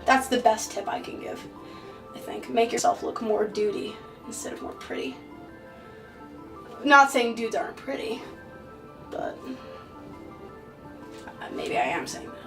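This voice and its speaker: Young woman, drag king artist, casual makeup walkthrough, jokey and self-deprecating, higher voice, mic set back, music underneath. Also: big pitch swings, unhurried, gravelly, pauses often. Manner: offhand, joking, self-deprecating. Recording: distant mic with music behind.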